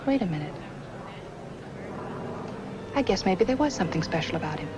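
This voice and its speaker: A woman's voice: lowish voice, animated, moderate pace, close to an everyday voice. A woman acting as an anxious, earnest dental nurse in a 1950s film noir, speaking plainly and defensively. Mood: earnest, recalling. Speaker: actress in a film. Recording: noisy old film soundtrack.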